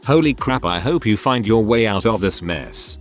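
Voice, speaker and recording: A man reading online posts aloud as a narrator in an even, steady delivery. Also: on the low side, moderate pace. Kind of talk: scripted narration. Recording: soft music bed behind voice. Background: faint background music.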